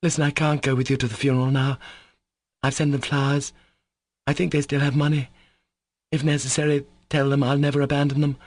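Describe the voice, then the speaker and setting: A man voicing a proud, guilt-torn young woman in an audiobook, speaking in a raised, halting, fervent voice. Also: mid-range voice, little pitch movement, darkish tone, no room sound, pitched above the performer's own voice, natural voice. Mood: curt. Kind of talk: audiobook character voice.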